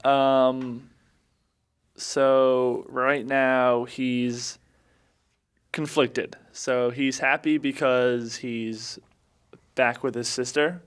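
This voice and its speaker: A man's voice: mid-range voice, little pitch movement, extremely slow, long pauses, smooth. A man playing a tabletop game, casually describing what his character does and thinks. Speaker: player in a tabletop game podcast.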